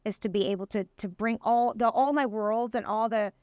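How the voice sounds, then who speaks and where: A woman's voice: a little high, fairly even intonation, a little mellow, no echo, clean studio sound. A middle-aged woman from Colombia, a guest in an interview, speaking with passion and drawing out key words for emphasis. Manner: passionate, emphatic, drawn-out stresses. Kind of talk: conversational interview.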